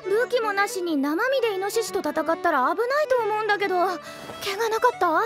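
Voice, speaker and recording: A woman voicing an easygoing, motherly young female witch in an anime voice drama, with calm, dry retorts. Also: very high voice, a little fast. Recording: music under the voice.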